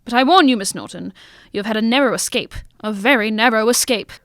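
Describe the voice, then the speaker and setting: Radio mystery lead: female actor as composed, commanding gentleman sleuth, higher register, formal delivery, dry sound. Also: no echo. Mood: stern. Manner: formal, measured, old-fashioned British gentlemanly phrasing. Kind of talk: old-fashioned detective radio drama acting.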